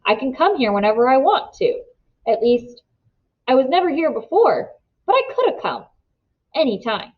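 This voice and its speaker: A woman's voice: high-pitched, sing-song, moderate pace, lo-fi sound, voice pushed up. A woman voicing a prim, curious, stubborn ten-year-old girl in a storybook, with an insistent, questioning manner. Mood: indignant.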